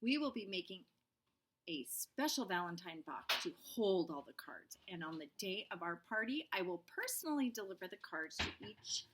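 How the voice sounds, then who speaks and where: A woman voicing a patient kindergarten teacher in a children's storybook, polite, measured and gently firm. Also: fairly low pitch, big pitch swings, background noise. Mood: announcing. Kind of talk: children's storybook read-aloud.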